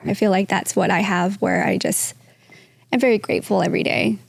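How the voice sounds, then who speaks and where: A woman whose first language is Vietnamese is a guest on a podcast. She talks in a soft-spoken, earnest way. Her mid-pitched voice has a gravelly fry. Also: steady tone, fairly quick, dry recording. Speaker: guest, real estate entrepreneur. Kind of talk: casual interview conversation.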